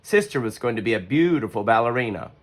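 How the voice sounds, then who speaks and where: A storybook reader giving expressive scripted narration for children. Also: medium pitch, fast talker.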